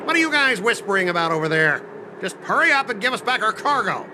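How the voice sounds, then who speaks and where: High-pitched, blustering corporate officer. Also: male voice, very animated, fast talker, noisy background. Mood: indignant demanding. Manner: indignant, argumentative, officious. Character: stubborn, blustering male corporate cargo fleet officer. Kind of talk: animated video game voice acting.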